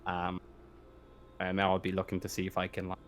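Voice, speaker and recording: A man's voice: low voice, completely flat delivery, fairly quick, slight background noise. A man running a tabletop roleplaying game, chatting casually with his players in a rambling, hesitant, sweary way.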